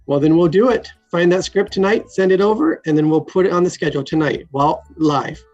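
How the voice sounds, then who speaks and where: A man hosting a casual video call show, briskly steering guests with quick asides. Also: sits high, darkish tone, soft background music. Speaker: podcast host. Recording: muffled lo-fi call audio.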